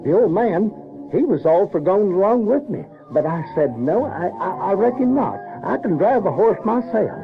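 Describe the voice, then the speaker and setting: A folksy runaway boy from the American South, narrating an audio drama. The voice is fairly high and swings with the story, stumbling and repeating words. Music plays prominently behind it. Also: sing-song. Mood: folksy, musing. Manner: halting, colloquial, repeats words. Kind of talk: first-person storytelling in radio drama. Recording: dull 1970s recording with music.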